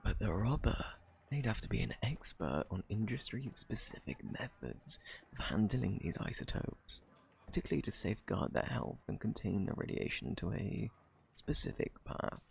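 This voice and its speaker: A man acts out a cunning gentleman thief in an ASMR roleplay, British and shamelessly flirtatious. His husky voice sits low, thick with gravelly fry. He swoops through his lines in a smug, taunting drawl, feigning innocence as he teases. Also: very animated, normal speed, a little hiss or hum, rough recording. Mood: taunting, insinuating. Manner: teasing, drawling, mock-innocent.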